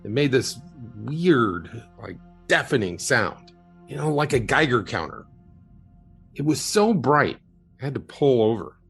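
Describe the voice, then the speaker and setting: Male actor as haunted witness, creaky husky voice, mid pitch, halting, earnest, radio drama monologue. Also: sing-song, a bit bright, soft background music, exaggerated voice.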